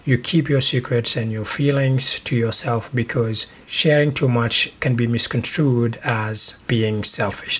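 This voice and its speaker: A middle-aged man from Senegal talks into a podcast microphone with a husky, slightly breathy voice. His pitch sits in an ordinary middle range. He gives earnest relationship tips at a measured pace, sometimes repeating a word as he restarts. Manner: measured, earnest advice-giving with small restarts. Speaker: podcast host. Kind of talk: relationship advice podcast monologue.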